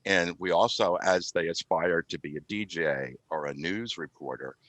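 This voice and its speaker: Very deep-voiced man in an interview. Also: normal speed, a bit bright, no room sound. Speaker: podcast guest, community radio IT volunteer. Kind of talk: interview answers, explanatory chat. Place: remote call with virtual background. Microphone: video call.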